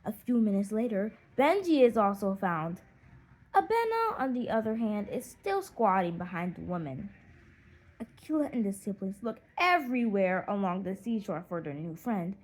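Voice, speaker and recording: A woman reading a children's audiobook in an expressive, animated storytelling manner. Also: mid-range voice, moderate pace, low-quality recording. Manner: animated storytelling with acted character voices. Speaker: audiobook narrator.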